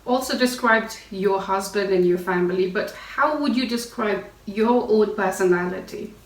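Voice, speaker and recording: A woman's voice: medium pitch, moderate pace. Woman host in an echoey room. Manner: friendly, filler-heavy questioning. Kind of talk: casual interview. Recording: echoey room, mic at a distance.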